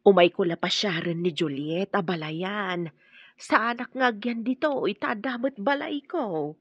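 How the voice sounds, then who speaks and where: An actress playing a caring, plain-spoken middle-aged village woman in an Ilocano radio drama, expressive and concerned, with an Ilocano accent. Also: medium pitch, measured pace, slightly rough, no echo, lo-fi sound. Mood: polite, subdued.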